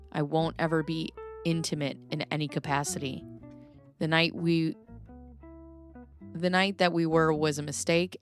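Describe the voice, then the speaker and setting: Woman, stream host, low voice, chatty, reads messages aloud and answers chat. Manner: chatty, reacts aloud and answers viewers by name. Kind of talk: live stream commentary, reading messages aloud.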